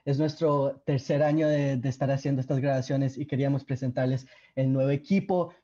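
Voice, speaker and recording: A man's voice: a little high, dark tone, dull audio. A young man co-hosting a campus radio show, chatting in a casual, upbeat way with some fillers. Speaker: radio co-host.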